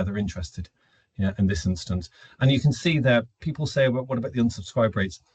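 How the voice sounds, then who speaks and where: A man's voice: medium pitch, darkish tone, slightly rough, no room sound, poor audio. A man giving an informal business webinar presentation, explaining in a conversational, opinionated way and answering his own questions. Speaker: webinar presenter, recruitment automation consultant.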